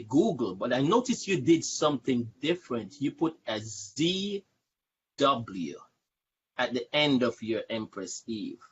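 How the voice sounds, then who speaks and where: A man's voice: mid-range voice, slightly rough. A man hosting a casual live-stream interview, repeating words and phrases for emphasis. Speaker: show host, interviewer. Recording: dull, lo-fi livestream audio.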